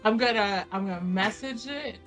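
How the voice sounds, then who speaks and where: A woman's voice: mid-range voice, moderate pace, over music. A woman playing a tabletop roleplaying game, chatting casually and narrating her character.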